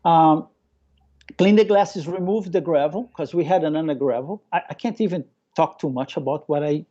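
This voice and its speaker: Brazilian-accented man, mellow and enthusiastic. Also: fairly high pitch, moderate pace, dull audio. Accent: Brazilian with a local Hawaiian touch. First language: Portuguese. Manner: enthusiastic, repeats phrases, acts out remembered remarks. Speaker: guest, hobbyist aquarium keeper. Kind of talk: casual live interview storytelling.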